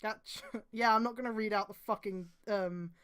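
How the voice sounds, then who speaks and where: A woman's voice: mid-range voice, fairly even intonation, moderate pace, fairly bright tone. A woman streamer reading aloud and adding exasperated, sweary mocking commentary.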